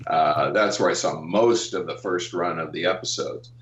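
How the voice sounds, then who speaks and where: An older American man reminiscing in a casual interview, speaking haltingly with frequent pauses and ums. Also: low voice. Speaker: interview guest, animation artist and art director. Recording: roomy, dull, distant call-like audio.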